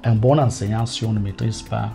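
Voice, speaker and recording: A man from Cameroon, a teacher answering interview questions in a careful, measured way with frequent hesitations. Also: on the low side, steady tone, creaky, warm tone, soft background music. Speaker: teacher guest.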